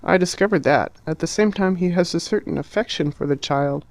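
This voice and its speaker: A man voicing a polite, reasonable young Englishman who speaks in a measured way. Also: on the high side, no room sound, natural voice. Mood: calm, measured. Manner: courteous, measured.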